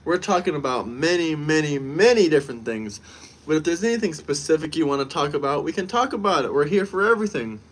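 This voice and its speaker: A man's voice: on the high side, expressive, fast talker, fairly bright tone, low-quality recording. A man from the United States talking casually on a live stream, brash and emphatic, often repeating himself.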